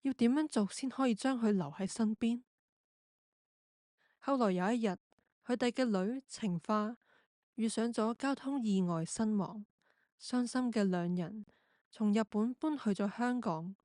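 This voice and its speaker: A woman's voice: fairly high pitch, unhurried, long pauses, dark tone, dry recording, studio quality. A woman narrating a scripted radio drama.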